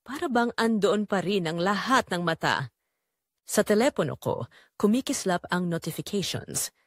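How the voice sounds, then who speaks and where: Animated woman narrating a dramatic story. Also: mid-range voice, moderate pace, no echo, clean studio sound. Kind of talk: scripted dramatic first-person narration.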